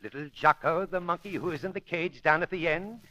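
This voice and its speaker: An actor playing a gloating, unhinged animal-trainer villain in an old-time radio crime drama, theatrical and menacing, swinging between hushed and frenzied. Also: male voice, fairly high pitch, brisk. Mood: gloating menace. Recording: old radio recording with faint noise.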